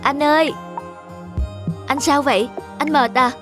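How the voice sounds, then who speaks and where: A woman playing a gentle, caring young wife in a cartoon. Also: sits high, fairly even intonation, a little slow, music in the background. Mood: concerned, gentle. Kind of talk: cartoon voice acting.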